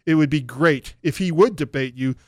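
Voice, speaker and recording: A man's voice: fairly high pitch, normal speed, a little mellow, dry recording, clean studio sound. A man hosting a talk radio show, delivering opinionated commentary and reading listener emails aloud in an emphatic way. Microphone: studio microphone.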